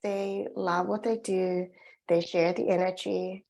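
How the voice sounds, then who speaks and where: A woman's voice: on the low side, little pitch movement, takes it slowly, creaky, darkish tone. A middle-aged woman from Finland with a Finnish accent, giving an earnest, encouraging webinar presentation as a well-being coach, often restarting her phrases.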